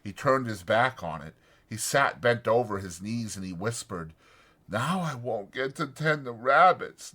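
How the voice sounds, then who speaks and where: A man's voice: lowish voice, fairly bright tone. A man reading a story as a dramatic audiobook narrator.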